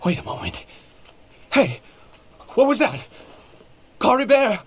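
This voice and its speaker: A man voicing an anxious, weary father in a video game, speaking in a hesitant, halting way with stammered repeats. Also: very high-pitched, very animated, fairly bright tone, slight background noise, exaggerated voice. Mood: alarmed, urgent. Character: anxious, weary father searching for his son. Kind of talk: dramatic video game voice acting.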